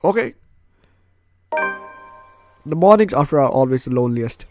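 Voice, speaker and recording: A man's voice: medium pitch, lively intonation, normal speed, a little mellow, no echo, clean studio sound. Man playing and narrating a game, music behind. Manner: stumbling, repeats and rereads phrases. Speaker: gaming video host. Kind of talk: casual let's play, reading game text aloud with commentary.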